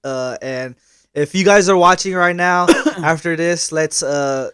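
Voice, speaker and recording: A young man hosting a podcast in casual chat, animated and hesitant, often getting louder when excited. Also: fairly high pitch, a little slow, smooth, no echo, studio quality. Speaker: podcast host.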